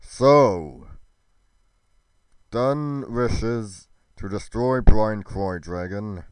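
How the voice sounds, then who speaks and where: A man playing a grave, righteous god with a solemn, declamatory, drawn-out delivery in an amateur scripted roleplay. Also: fairly low pitch, clean tone, no room sound. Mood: concerned. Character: grave, righteous male god vowing to restore order.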